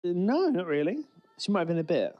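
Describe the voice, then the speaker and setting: A man's voice: sits high, sing-song, fast talker, dull audio. A man co-hosting a podcast, chatting casually about a TV episode with short, playful reactions. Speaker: podcast co-host. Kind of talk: casual chat, TV episode commentary.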